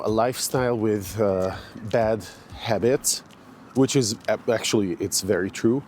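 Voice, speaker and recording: A man's voice: fairly low pitch, a little mellow, slight background noise. A man, chatting casually with many fillers and restarts as he walks through a park. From Hungary. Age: 40s. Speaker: fitness vlogger. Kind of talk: casual walking vlog. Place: outdoor park in the morning.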